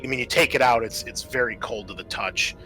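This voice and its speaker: A man improvising narration as game master of a tabletop roleplaying game, describing scenes in a conversational, halting way. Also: medium pitch, sharp tone, faint music behind, low-quality recording.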